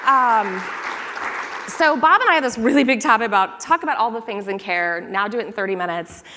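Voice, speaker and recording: A woman's voice: on the high side, expressive, fairly quick, a crowd in the background, noisy background. A woman moderating a conference panel, chatty and upbeat, addressing the audience from the stage. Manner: upbeat, chatty, quotes lines playfully. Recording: long reverb of a large hall.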